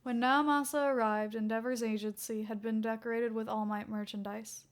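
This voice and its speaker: A woman's voice: on the high side, flat delivery, normal speed. A woman reading a scripted story aloud as a fan fiction narrator.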